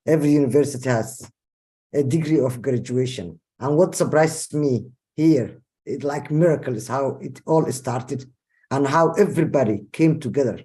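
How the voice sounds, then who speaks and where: A man with a Somali accent speaks haltingly on a group video call, pausing often to search for his words. His voice is mid-pitched and mellow, with a dark, soft tone. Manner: hesitant, many fillers and restarts. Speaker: caller. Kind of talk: casual thank-you speech.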